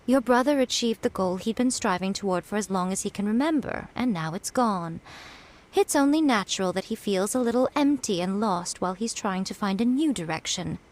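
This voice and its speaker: A woman voicing a sly, teasing shrine priestess in a video game, amused and unhurried. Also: mid-range voice, expressive, a little mellow, close to an everyday voice.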